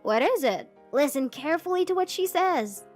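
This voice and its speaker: A woman voicing a bossy, condescending older sister who talks in a shrill, scornful way. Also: lively intonation, moderate pace, faint music behind.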